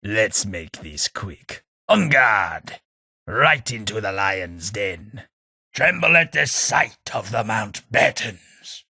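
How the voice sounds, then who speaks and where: A man voicing a posh, sardonic British gentleman companion in a game, with a British accent. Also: on the low side, big pitch swings, slow pace, creaky, breathy edge, dry recording, full character voice. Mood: combative. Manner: dry, wry, posh and sardonic. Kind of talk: game voice lines.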